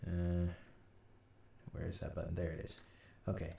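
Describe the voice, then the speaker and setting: Low, roomy-sounding man giving a tutorial. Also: level tone, vocal fry. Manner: halting, thinking aloud while working. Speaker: host, audio drama sound designer. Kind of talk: informal screen-recorded software tutorial. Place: indoor room at a computer. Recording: roomy, distant mic, light noise. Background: keyboard typing and mouse clicks, faint noise.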